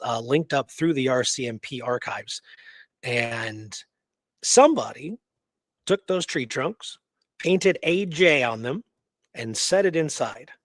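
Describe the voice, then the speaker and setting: A man's voice: mid-range voice, dry recording. A man co-hosting a radio show, casually telling historical research and often checking in with "right?" and "you know".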